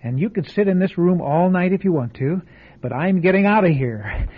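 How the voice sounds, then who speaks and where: A stammering, frightened motel guest. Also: male voice, high-pitched, expressive, normal speed, breathy edge. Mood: scared. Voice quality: stammering, panicky. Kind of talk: old-time radio drama acting. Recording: tinny lo-fi radio broadcast.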